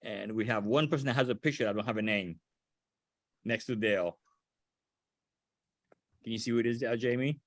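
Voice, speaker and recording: Older man from Haiti, halting speech. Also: lowish voice, no echo. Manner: halting, restarts phrases often. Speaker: ground school instructor. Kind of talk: casual video call meeting. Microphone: video call.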